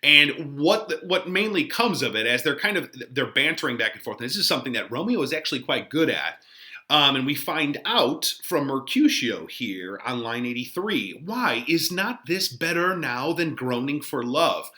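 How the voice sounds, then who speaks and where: A man teaching, giving informal, animated, conversational commentary on a play. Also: mid-range voice, normal speed. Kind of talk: explanatory lesson commentary on a play.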